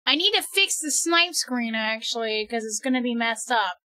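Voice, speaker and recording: A young woman live-streaming a game from home, excitable and quick to laugh and shout, and losing her voice. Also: fairly high pitch, dry recording. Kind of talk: casual live-stream chat while gaming.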